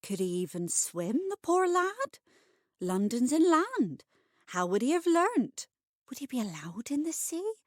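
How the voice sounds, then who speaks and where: A woman narrating a scripted bedtime short story for adults, expressive and wry. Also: high voice, unhurried, dry recording, studio quality.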